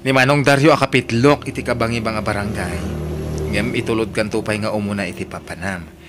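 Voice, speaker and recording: A man's voice: lowish voice, brisk, close to an everyday voice. A man acting a jealous, hurt middle-aged husband and doting father in a Filipino-accented radio drama, emotive and pleading. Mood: eager, coaxing. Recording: noisy radio drama recording.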